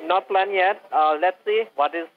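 A man's voice: high voice, normal speed, a little hiss or hum. Man, phone-line sound, hesitant, filler-heavy, asks "sorry?" a lot. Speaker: scam caller. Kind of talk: scripted tech-support pitch.

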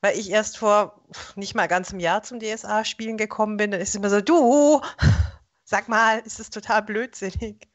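A woman's voice: medium pitch, moderate pace, lo-fi sound. A woman chatting casually as a participant in a group video call livestream. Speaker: stream participant. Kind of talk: casual chat.

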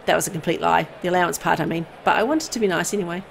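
A woman reading a horror visual novel aloud in an expressive storytelling style. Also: on the low side, very fast, creaky, slight background noise.

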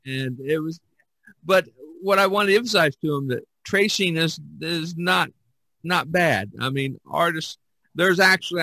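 A man chatting casually as a podcast host, halting and often restarting his phrases. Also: fairly high pitch, sharp tone, no room sound, low-quality recording. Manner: halting, with repeated false starts. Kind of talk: casual chat about art.

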